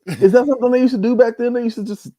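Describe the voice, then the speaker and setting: A man's voice: extremely high pitch, very fast, mellow, no room sound. A man on a podcast panel, chatting casually and reacting to what was played. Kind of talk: casual chat.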